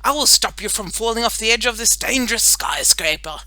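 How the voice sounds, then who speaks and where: Woman playing brave boy superhero, declamatory, loud, high, very bright, storybook heroics. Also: lively intonation, fairly quick, dry recording. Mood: heroic bravado. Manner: bold, declamatory heroic announcing. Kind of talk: children's audiobook character acting.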